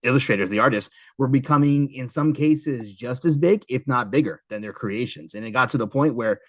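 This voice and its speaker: A man with a medium-pitched voice hosts a casual podcast chat. He sounds informal and agreeable, and the recording is dry with very little room sound. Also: a little fast, dry recording. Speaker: podcast host.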